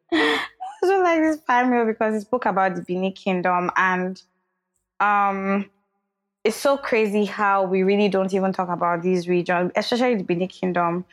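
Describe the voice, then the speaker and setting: A woman's voice: mid-range voice, moderate pace. A woman co-hosting a podcast, talking things through reflectively with fillers in casual chat. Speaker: podcast co-host.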